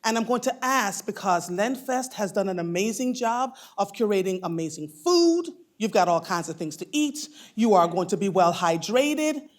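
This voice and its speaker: A woman in her fifties with a boomy voice, emceeing a conference and effusively addressing the audience from the stage. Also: animated, moderate pace, hardly any pauses. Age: 50s. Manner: effusive, calls people darling. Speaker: mistress of ceremonies.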